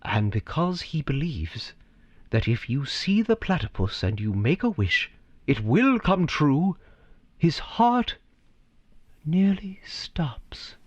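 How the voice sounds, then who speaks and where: A man narrating a scripted story theatrically, with dramatic, deliberate phrasing and pauses. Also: on the high side, very animated, dry recording, lo-fi sound.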